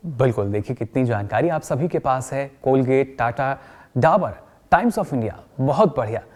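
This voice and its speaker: A man's voice: mid-range voice, expressive, moderate pace, a little mellow, natural voice. A man playing a patient, encouraging trainer who lectures and puts questions to a group in a scripted training film. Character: encouraging male trainer of company directors, patient and instructive. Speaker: trainer leading a workshop.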